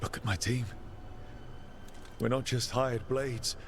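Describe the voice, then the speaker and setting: An actor playing an earnest, determined heroic leader in a fantasy video game, sincere and resolute. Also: male voice, medium pitch, steady tone, slow pace, a little hiss or hum.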